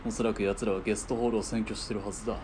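An actor playing a composed, wary sniper's partner in a radio drama, speaking in terse, measured tactical phrases. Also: male voice, fairly low pitch, flat delivery, darkish tone, close to an everyday voice. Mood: wary, hushed. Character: composed, wary military-trained sniper's partner on covert mission. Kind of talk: Japanese radio drama acting. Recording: faint vehicle and ambience noise.

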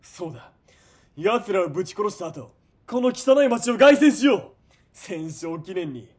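A man playing a ruthless, greedy military police commander in a period radio drama, domineering and sneering, moving between cold murmurs and shouting. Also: high-pitched, sing-song, a little slow, husky, exaggerated voice. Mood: manic, menacing.

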